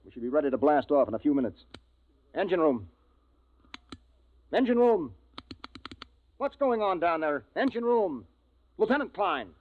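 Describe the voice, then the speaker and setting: Spaceship captain: brisk, authoritative, strained, very animated pitch, tinny vintage radio sound. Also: male voice. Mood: alarmed. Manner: brisk, authoritative, clipped orders. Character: commanding, strained male spaceship captain, also narrating. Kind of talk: 1950s radio drama acting.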